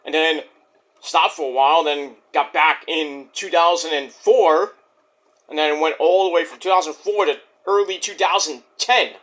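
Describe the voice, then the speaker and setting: Middle-aged brooklyn guy w/ new york accent, kinda high voice, bragging and rambling on his channel. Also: moderate pace, a bit bright. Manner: boastful, rambling, restarts and fillers. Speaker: wrestling review YouTuber. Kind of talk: casual rambling monologue.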